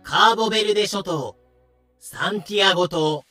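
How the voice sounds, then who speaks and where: A man's voice: sits high, moderate pace, sharp tone. A man reading scripted narration for an adventure story. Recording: music bed behind voice.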